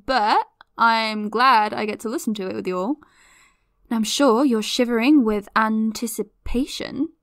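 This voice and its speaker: A chatty, nosy podcaster. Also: female voice, mid-range voice, gravelly, dry recording, studio quality. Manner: chatty, playful, dramatic asides. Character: curious young woman podcaster, chatty and nosy. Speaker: podcast host. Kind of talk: audio drama, chatty podcast monologue.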